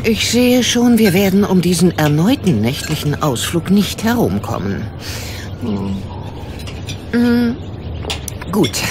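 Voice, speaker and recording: Shrewd old lady sleuth, low-voiced. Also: background noise. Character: shrewd, dryly grumbling elderly female amateur sleuth. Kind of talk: German detective audio drama acting.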